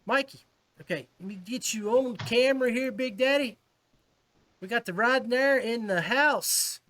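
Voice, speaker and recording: High-pitched American man hosting a podcast. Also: moderate pace, pushed and bright. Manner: friendly, chummy, appreciative. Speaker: podcast host. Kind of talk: casual fishing podcast interview.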